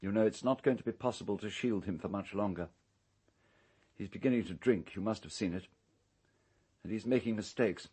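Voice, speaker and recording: An actor playing an urbane, calculating English island owner in a radio drama, speaking in a measured, precise, coolly unrepentant way. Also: male voice, on the low side, dark tone, husky. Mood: smooth, regretful.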